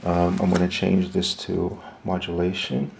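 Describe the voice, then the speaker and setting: Low gravelly guy doing an off-the-cuff gear demo, lots of fry, kinda halting as he explains. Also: completely flat delivery, slight background noise. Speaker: product demonstrator. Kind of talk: unscripted hands-on equipment walkthrough.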